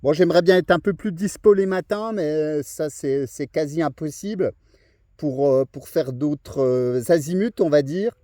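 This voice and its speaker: A slightly high-pitched man with a mellow, soft-edged tone talks casually as a radio hobbyist. He is conversational and keeps checking that his listener follows, in a dry, close-sounding recording. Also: a little slow, dry recording. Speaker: amateur radio operator. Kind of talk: casual radio contact chat.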